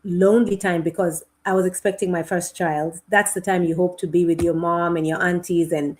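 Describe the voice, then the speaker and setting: A middle-aged woman from Kenya with a Kenyan accent, speaking as a guest on a video-call interview in a thoughtful, unhurried way. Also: on the low side, flat delivery, a little hiss or hum. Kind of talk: conversational interview.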